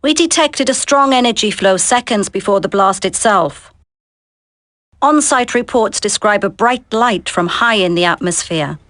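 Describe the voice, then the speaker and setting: Government aide, game dialogue, average pitch, formal, dutiful, efficient, dry sound. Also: female voice, animated, measured pace, fairly bright tone, no room sound. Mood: grave, dutiful. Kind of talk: video game voice acting.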